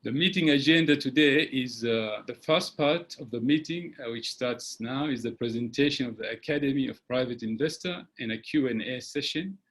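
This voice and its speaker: A man hosting an online webinar, giving motivational advice with frequent "uh" pauses, recorded on a computer mic. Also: medium pitch, a bit bright. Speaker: webinar host.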